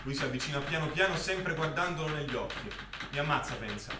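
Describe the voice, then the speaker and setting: A man's voice: on the high side, animated, quick pace. A man narrating a scripted war drama as a stage actor, in a dramatic storytelling manner. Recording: echoey, noisy, distant stage recording.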